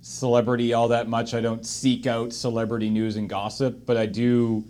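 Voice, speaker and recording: A man's voice: medium pitch, little pitch movement, normal speed, darkish tone. A man chatting casually as he moderates a group discussion, hedging and correcting himself as he goes. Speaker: discussion moderator on a charity livestream.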